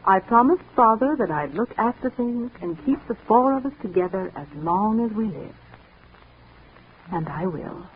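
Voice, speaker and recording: A woman's voice: mid-range voice, lively intonation, moderate pace, natural voice. An actress playing a devoted, resolute, motherly older sister in her thirties, in 1940s radio drama style on a tinny, noisy old recording. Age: 30s.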